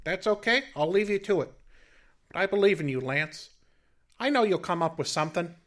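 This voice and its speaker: An actor playing a naive, eager, big-hearted sidekick in a comic noir radio drama, earnest and stammering with folksy slang. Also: male voice, high-pitched, animated, a little fast. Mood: hopeful, trusting.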